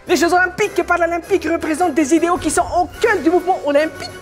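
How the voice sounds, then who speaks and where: A woman's voice: very high-pitched, fairly even intonation, very fast, soft background music. A woman playing an eager young dreamer with a Malagasy French accent. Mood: declaiming. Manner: earnest, pleading, declamatory. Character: young man, eager Astérix fan.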